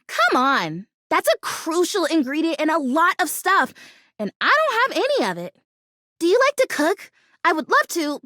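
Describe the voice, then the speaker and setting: A woman's voice: very high-pitched, no echo, studio quality, heavily acted. A woman voicing a perky, earnest young female houseplant in a video game, chatty and bubbly in manner. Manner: bubbly, earnest, chatty, self-encouraging, with stretched excited words. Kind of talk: video game character voice acting.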